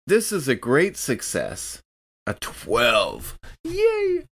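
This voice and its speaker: A man's voice: mid-range voice, huge pitch swings, drawn-out words, creaky, a bit bright, slightly rough. A man playing in a tabletop roleplaying podcast, chatting casually and reacting with short exclamations. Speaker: player on a tabletop game podcast. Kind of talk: casual in-game chat with exclamations.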